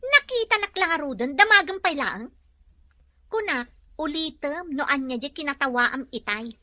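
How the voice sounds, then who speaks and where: A woman's voice: sits high, lively intonation, normal speed, sharp tone, no echo, studio quality. A woman acting a jealous, demanding lady of the house in a radio drama, interrogating sharply. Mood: indignant.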